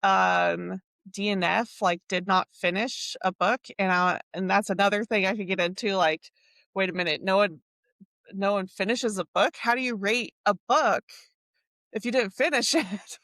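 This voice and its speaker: A woman co-hosting a casual book podcast, talking in a rambling way full of fillers and quick laughs. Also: mid-range voice, fairly even intonation, vocal fry, a bit bright, no echo. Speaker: podcast co-host.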